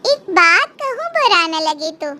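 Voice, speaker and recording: A woman's voice: extremely high pitch, very animated, measured pace, bright, forceful tone, pitched above the performer's own voice, heavily acted. A woman voicing a nosy, concerned neighbour who asks and advises earnestly in a cartoon story. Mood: earnest advising. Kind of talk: Hindi cartoon moral story acting.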